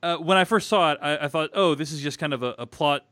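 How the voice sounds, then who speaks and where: A man's voice: a little high, quick pace, a bit bright, dry recording. A man hosting a podcast, talking through a film in a rambling way with many restarts and fillers. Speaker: podcast host. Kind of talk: casual film discussion.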